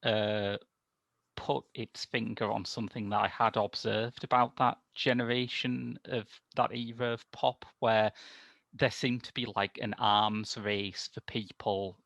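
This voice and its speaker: Male film podcaster, low gravelly voice, dry close sound, discursive and halting in casual review. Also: little pitch movement, a bit bright, no echo.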